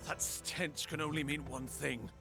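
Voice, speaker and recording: An actor playing a commanding, vengeful Persian general in a video game, declaiming and barking urgent orders. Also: male voice, fairly high pitch, fairly even intonation, unhurried, gravelly. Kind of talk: dramatic video game voice acting. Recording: dramatic music behind the voice.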